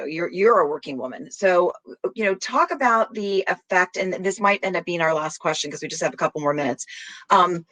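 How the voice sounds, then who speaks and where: A woman's voice: on the low side, a bit bright, no room sound. A woman hosting an interview program, asking questions in a friendly, conversational way.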